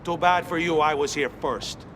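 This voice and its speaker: An actor playing a proud, vengeful Cuban mafioso in a video game, taunting in Spanish-accented English. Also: male voice, on the high side, steady tone, moderate pace, a bit bright, a little hiss or hum, lightly acted. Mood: taunting menace. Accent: Spanish-speaking Cuban. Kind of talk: video game cutscene acting.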